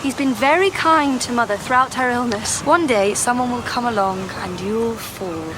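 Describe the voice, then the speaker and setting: A woman's voice: a little high, moderate pace, background noise, natural voice. An actress playing a thoughtful, worried young Victorian woman and loyal friend in an audio drama. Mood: sympathetic.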